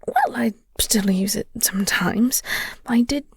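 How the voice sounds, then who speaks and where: Breathy, gentle vampire flirt played by a woman. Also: a little high, dry recording, clean studio sound, full character voice. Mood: soft, tender. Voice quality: breathy, husky. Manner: halting, teasing, tender. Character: flirty, gentle vampire nemesis comforting a heartbroken human. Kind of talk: intimate audio roleplay acting, half-whispered.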